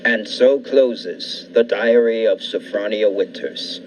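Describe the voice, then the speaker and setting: A man's voice: fairly low pitch, takes it slowly, soft background music. A man playing an ominous, solemn narrator in the style of a 1940s radio suspense show. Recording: distant, long reverb, faint noise.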